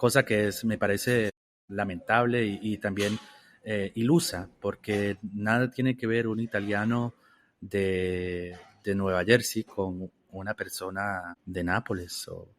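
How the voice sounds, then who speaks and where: A man from Costa Rica answering interview questions in a measured, reflective way, often restarting his phrases. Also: on the low side, fairly even intonation. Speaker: interview guest.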